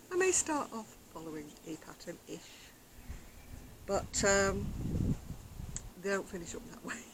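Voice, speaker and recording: Soft-spoken older woman chatting outdoors. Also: medium pitch, big pitch swings, slow, pauses often, noisy background. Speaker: crochet vlogger. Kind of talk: casual chat to camera. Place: outdoor deck in the sunshine. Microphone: external camera microphone.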